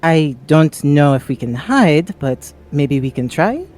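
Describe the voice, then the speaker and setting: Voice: medium pitch, big pitch swings, slow, a little mellow. A player with an androgynous voice, casually roleplaying in a tabletop fantasy game.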